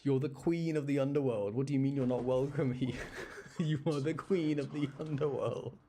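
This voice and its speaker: A man giving casual live game commentary as a streamer, chatty and playful, reacting out loud. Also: mid-range voice, fairly even intonation, normal speed, slight background noise. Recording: faint game music behind. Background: video game music.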